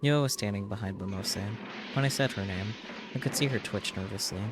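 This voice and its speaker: A man's voice: lowish voice, brisk, noisy background. A man who streams games, reading visual novel text aloud as narration.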